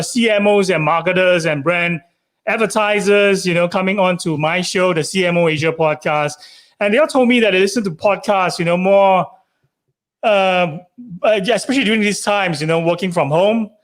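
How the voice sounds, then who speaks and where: A man. He hosts an interview show, building long conversational questions in a halting, wordy way with many small tag phrases. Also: high voice, fairly bright tone. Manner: halting, wordy, often tagging phrases with "you know" and "right". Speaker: podcast host interviewing a guest.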